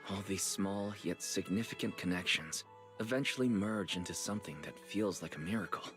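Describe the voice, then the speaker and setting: Guy doing a calm reflective story narrator for a game, lowish voice, music under it. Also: lightly acted. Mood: reflective, hopeful. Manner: reflective, measured. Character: calm, thoughtful male storyteller closing a heroic tale.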